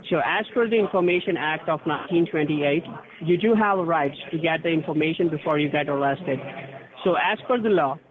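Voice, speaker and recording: A man's voice: fairly high pitch, a little fast. A man calling by telephone, giving a formal, official-sounding scripted spiel with step-by-step instructions. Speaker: phone scam caller posing as a tax officer. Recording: tinny phone line with crowd chatter.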